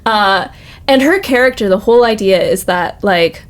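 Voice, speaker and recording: A woman's voice: medium pitch, moderate pace. Woman on a chatty podcast, giggly and easygoing talk, reacts a lot, middle-range voice. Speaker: podcast co-host. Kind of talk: casual chat.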